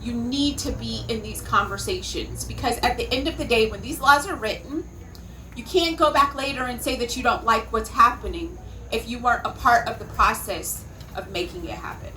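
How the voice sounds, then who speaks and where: American woman, panel speaker. Bright, forceful, higher-pitched voice, emphatic delivery, live event noise behind. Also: moderate pace, rough recording. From California, United States. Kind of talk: public panel speech.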